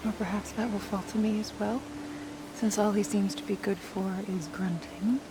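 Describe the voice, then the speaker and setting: A woman playing a gentle, wry Norse warrior mother in a cinematic video game, speaking tenderly and with measured, soft teasing. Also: medium pitch, steady tone, background noise, lightly acted. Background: music.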